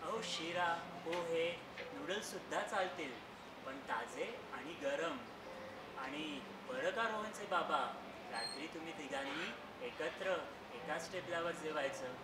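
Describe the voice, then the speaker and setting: Guy doing an old grandpa on stage, laying down rules, kinda high voice, super noisy echoey hall. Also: animated. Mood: stern, advising. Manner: measured, instructive, admonishing. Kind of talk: stage play acting, reading out a letter. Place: theatre stage in an auditorium. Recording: echoey auditorium, distant mic, loud noise.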